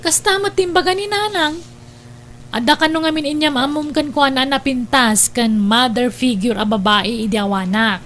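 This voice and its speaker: Babae nga agak-akto kas natinggaw a manang. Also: female voice, high voice, moderate pace, fairly bright tone, slight background noise. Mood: teasing, probing. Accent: Ilocano. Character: protective, teasing older sister. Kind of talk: Ilocano radio-style drama acting.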